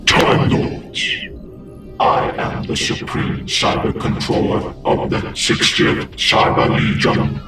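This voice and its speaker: A man playing a cold, arrogant robotic cyber commander in a sci-fi audio drama, menacing and formal through a robotic filter. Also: takes it slowly. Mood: cold menace. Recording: echoey distant filtered sound under music.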